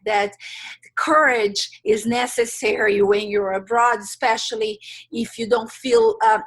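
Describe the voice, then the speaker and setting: A woman's voice: on the high side, slightly rough, rough recording. A middle-aged woman from Brazil, a native Portuguese speaker, talking as a guest coach on a podcast in a thoughtful, hesitant way with many fillers. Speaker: guest, life transitions coach. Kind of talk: podcast interview.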